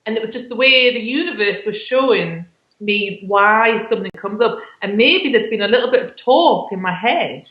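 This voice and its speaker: A woman's voice: medium pitch, expressive, normal speed. Reflective woman on dull, echoey call audio. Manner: reflective, rambling, self-interrupting. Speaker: co-host. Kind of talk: casual spiritual self-help chat.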